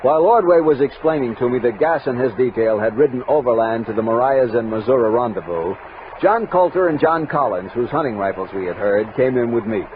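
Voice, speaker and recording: An actor playing a resolute, reflective army captain, narrating a 1960s radio western with dramatic command. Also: male voice, medium pitch, animated, brisk, natural voice. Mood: determined. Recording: noisy tinny vintage 1960s radio recording.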